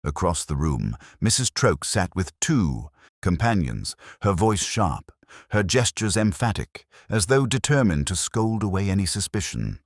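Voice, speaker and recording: Very deep, expressive male narrator. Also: no echo, clean studio sound. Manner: measured, literary, suspenseful storytelling. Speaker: audiobook narrator. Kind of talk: scripted dramatic mystery narration.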